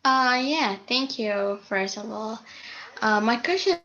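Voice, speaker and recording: Slow, halting woman asking a question. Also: fairly high pitch, expressive, sharp tone. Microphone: video call. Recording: muffled video call audio with light noise.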